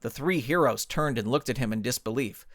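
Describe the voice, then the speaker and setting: A man's voice: medium pitch, a little fast, no room sound, clean studio sound. A man reading scripted dramatic narration as a storyteller. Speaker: author and narrator.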